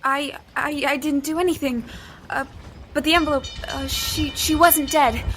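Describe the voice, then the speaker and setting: A woman's voice: high-pitched, fairly even intonation, normal speed, a bit bright, slight background noise. A woman playing an earnest, anxious young heroine in a first-person audio drama, with a dramatic delivery. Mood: panicked, pleading. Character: anxious young woman heroine, resourceful, narrating her own mystery.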